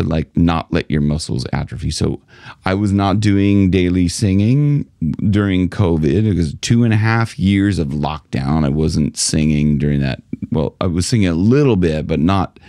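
A middle-aged man coaching singers straight to camera, animated and gesturing, with plenty of fillers, in a small home studio. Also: low-pitched, gravelly, no room sound. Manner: animated, gestures with both hands, many fillers. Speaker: vocal coach. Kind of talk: direct-to-camera coaching talk. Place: small home studio room with guitars on the wall. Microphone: large studio mic in front of him.

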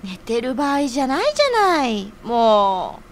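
A woman's voice: on the high side, big pitch swings, a little slow, slight background noise. A woman playing an impatient, bossy but caring friend in an audio drama, pouting and exclaiming. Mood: anxious, impatient.

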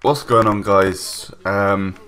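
Deep-voiced young man with a cold. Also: steady tone, slow, fairly bright tone. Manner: rambling, hesitant, many ums and restarts. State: stuffy nose from a cold. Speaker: gaming YouTuber. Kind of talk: casual voice-over commentary. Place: home room, recorded separately from gameplay.

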